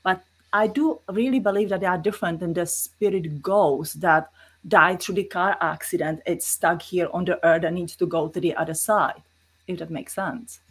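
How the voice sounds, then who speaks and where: A woman's voice: on the low side, fairly even intonation, normal speed, husky. A middle-aged woman with a Czech accent, earnestly explaining spiritual experiences as an interview guest in non-native English.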